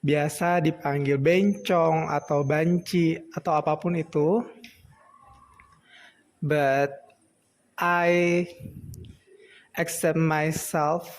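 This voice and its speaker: A man's voice: a little high, steady tone, drawn-out words, long pauses. A twenty-year-old Indonesian man with a feminine manner, speaking candidly in an interview and mixing in English phrases. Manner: mixes English phrases into speech.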